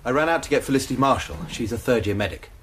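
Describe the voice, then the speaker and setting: A man's voice: medium pitch, lively intonation, racing, a bit bright, a little hiss or hum, lightly acted. An actor playing an anxious young male student, telling his story in a rambling, worried way in a radio drama. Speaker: student suspect. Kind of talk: BBC radio drama acting.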